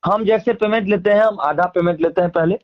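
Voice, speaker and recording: A man's voice: sits high, a little fast, lo-fi sound. A man with a Bihari accent speaking casually as an interviewee, emphatically airing grievances in colloquial, repetitive phrasing. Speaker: interviewee, singer and stage performer.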